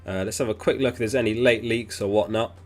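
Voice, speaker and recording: Sniffly man, lowish voice. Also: quick pace. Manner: frequent throat clearing; relaxed, chatty, thinks aloud with fillers. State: sniffly, getting over an illness. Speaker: fantasy football livestream host. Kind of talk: casual livestream chat reading viewer messages.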